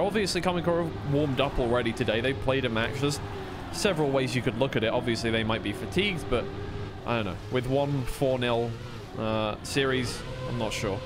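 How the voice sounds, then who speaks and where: A man giving casual, unscripted live play-by-play and analysis as an esports commentator on a stream. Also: medium pitch.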